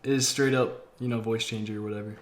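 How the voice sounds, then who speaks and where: A man in his twenties from Massachusetts with an American accent, hosting a stream with excitable, slangy casual chat. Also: lowish voice, quick pace, roomy sound. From Massachusetts, United States. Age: 20s. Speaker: game streamer, host.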